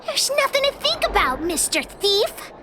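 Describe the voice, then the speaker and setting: A woman's voice: extremely high pitch, sing-song, normal speed, background noise. A woman voicing a brash, stubborn little girl adventurer in a video game, blunt and bossy. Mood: stubborn, defiant. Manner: blunt, bossy, refers to self in third person. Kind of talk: animated video game voice acting.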